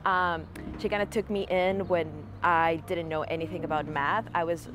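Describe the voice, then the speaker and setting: A woman's voice: on the low side, fairly even intonation, moderate pace. A woman in her twenties from Peru, a student answering interview questions in an earnest, casual way with many fillers. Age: 20s. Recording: music playing behind the voice.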